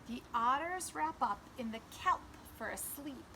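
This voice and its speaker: High-voiced woman preschool teacher reading aloud. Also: lively intonation, a little slow, sharp tone, a little hiss or hum. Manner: simple, instructive explaining to young children. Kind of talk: children's read-aloud and simple lesson.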